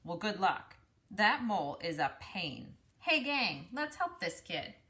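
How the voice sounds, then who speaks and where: A woman's voice: medium pitch, big pitch swings, slow, bright, forceful tone, heavily acted. A woman voicing a helpful storybook firefly, chummy and encouraging as it rallies its gang.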